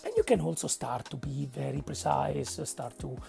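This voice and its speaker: A man with an Italian accent narrating a painting tutorial, speaking haltingly and searching for words, with frequent checks of "okay?". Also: fairly high pitch, gravelly, dark tone, soft background music. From Italy. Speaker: miniature painting instructor.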